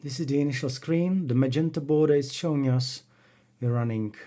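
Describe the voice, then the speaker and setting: Czech-accented man with a mellow voice. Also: mid-range voice. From Czech Republic. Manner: unhurried, plain, talks while working the computer. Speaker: retro computing hobbyist. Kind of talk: casual home demo walkthrough. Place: cluttered home workroom.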